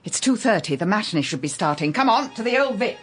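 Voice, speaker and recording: An actress playing a seasoned, imperious English private investigator, precise, formal and dry-witted, in a radio detective drama. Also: female voice, medium pitch, very animated, fast, husky, faint music behind. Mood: brisk, deducing. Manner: precise, formal, wry wording.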